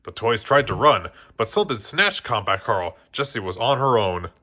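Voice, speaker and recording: A man's voice: fairly low pitch, animated, moderate pace, pushed and bright. A man reading a children's story aloud as scripted narration, now and then stumbling over a word. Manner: occasionally stumbles over words and rereads. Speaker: storybook reader.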